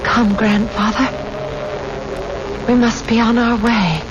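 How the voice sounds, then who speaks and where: A resolute young granddaughter in a radio drama. She speaks slowly and solemnly, with earnest weight. The vintage recording is muffled and heavy with noise. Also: fairly high pitch, fairly even intonation. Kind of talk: 1970s radio drama acting.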